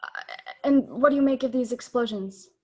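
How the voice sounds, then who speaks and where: Teen girl doing a nervous radio reporter, polite, kinda high voice, stumbles a lot. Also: normal speed, mellow, husky, lo-fi sound. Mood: formal, puzzled. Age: teens to young adult. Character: flustered, polite radio news reporter, male by name. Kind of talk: spoof radio play interview. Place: bedroom, video call.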